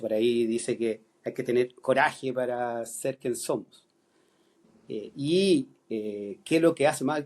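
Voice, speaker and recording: A man's voice: mid-range voice, darkish tone. Hesitant Chilean man thinking aloud. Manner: thoughtful, many hesitations and restarts. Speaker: guest philosophy teacher. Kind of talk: podcast conversation.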